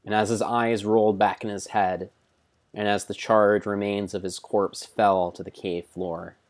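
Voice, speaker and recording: A man narrating video game play as a solemn, theatrical storybook tale, in measured phrases. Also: fairly low pitch, level tone. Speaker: streamer narrating own gameplay.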